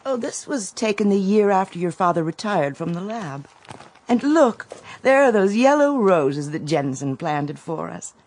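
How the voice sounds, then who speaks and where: A woman's voice: mid-range voice, big pitch swings, darkish tone. A middle-aged woman in a radio drama playing a mother, speaking in a languid, indulgent way. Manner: languid, indulgent, slow-spoken.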